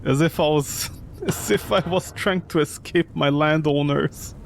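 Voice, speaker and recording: A man's voice: a little high, measured pace, creaky, a little hiss or hum. A man streaming a game with casual, mocking, exasperated commentary and plenty of swearing. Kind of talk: casual, profane gameplay commentary.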